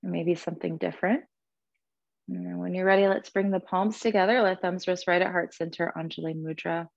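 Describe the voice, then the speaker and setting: A woman teaching a guided yoga class in a soothing, gentle, unhurried manner, cueing breath and movement. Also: on the low side, fairly even intonation, gravelly, dry recording, muffled recording.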